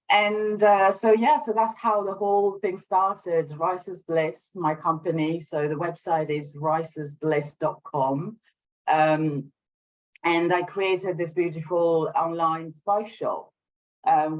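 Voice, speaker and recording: A woman from Sri Lanka, raised in France, talking conversationally as an interview guest on a video call, with a hesitant delivery that often pauses to regroup. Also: low-pitched, a little mellow, highs cut off. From Sri Lanka, raised in France from age eight. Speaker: guest, small business owner. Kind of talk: conversational interview, telling own story and showing products.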